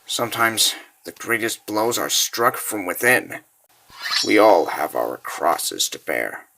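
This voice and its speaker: A man voicing a grave, solemn mentor in a comic dub, speaking slowly and low. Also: big pitch swings, vocal fry, noisy background, full character voice. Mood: stern. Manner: slow, solemn, low-voiced. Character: grave, solemn mentor urging a warrior onward.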